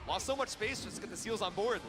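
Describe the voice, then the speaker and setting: Fast, high-voiced male esports commentator. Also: animated. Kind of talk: live fighting-game play-by-play commentary. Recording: broadcast with prominent music behind. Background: music.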